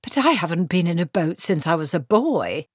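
A woman's voice: fairly low pitch, big pitch swings, moderate pace, breathy edge, no echo, clean studio sound. Woman putting on a grumbling, miserable male wizard. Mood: protesting. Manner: fussy, protesting, formally polite.

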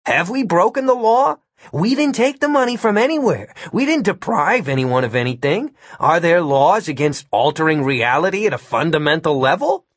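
A man voicing a calm, cheeky male computer hacker in an audiobook, reasoning in a politely smug, persuasive way. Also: a little high, normal speed, breathy edge, no room sound, clean studio sound, pitched above the performer's own voice. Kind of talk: audiobook character voice.

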